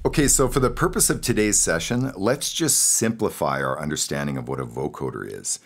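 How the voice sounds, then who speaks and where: A man hosting an informal, encouraging audio production lesson, explaining studio gear in a casual way and repeating phrases for clarity. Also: deep voice. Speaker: host and audio production instructor.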